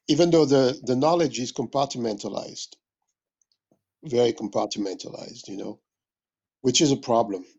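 A man from West Africa speaks in a mid-pitched, husky voice. He explains his points reflectively and deliberately, like a scholar in an interview, repeating phrases to stress them. The recording is a little dull and lo-fi. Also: darkish tone. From West Africa (Mali). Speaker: interviewed jazz musician and music history teacher.

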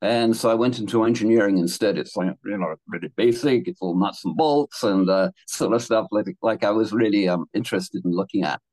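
A man's voice: lowish voice, fairly quick, hardly any pauses, a little mellow, no echo, low-quality recording. A man in his seventies from England, telling his life story as a guest in a casual interview, rambling with restarts and laughing between thoughts. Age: 70s.

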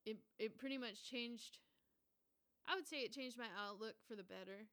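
A woman's voice: a little high, moderate pace, a bit bright. Profane, rambling woman in her twenties. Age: 20s. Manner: casual, profane, rambling with fillers. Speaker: podcast host. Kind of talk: casual solo podcast rant.

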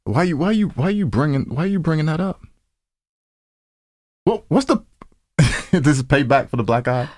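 A man's voice: a little high, very animated, normal speed, long pauses, darkish tone, no echo. A man co-hosting a podcast in casual banter, rambling informally and often repeating his phrases. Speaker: podcast co-host. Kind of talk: casual banter between co-hosts.

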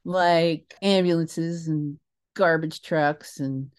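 An older woman with a slightly low-set voice speaks as a guest in a relaxed interview. She tells stories with animated emphasis, breaking off mid-thought and wandering into side remarks. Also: takes it slowly. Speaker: interview guest, theatre creator. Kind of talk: casual interview storytelling.

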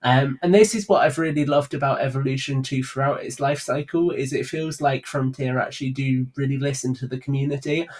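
A man's voice: medium pitch, a little mellow, husky. A man speaking as a guest on a podcast, in casual conversation.

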